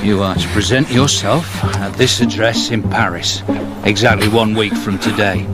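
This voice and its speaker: A man's voice: on the low side, moderate pace, close to an everyday voice. An actor playing a controlled, menacing man giving orders in a radio drama. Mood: commanding. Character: menacing, controlled male agent dictating orders. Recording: very loud music behind.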